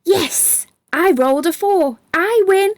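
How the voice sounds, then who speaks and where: A woman's voice: very high-pitched, slow, darkish tone, no room sound, voice pushed up, full character voice. A woman voicing a friendly, encouraging young boy in a children's storybook. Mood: excited. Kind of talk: children's storybook read-aloud.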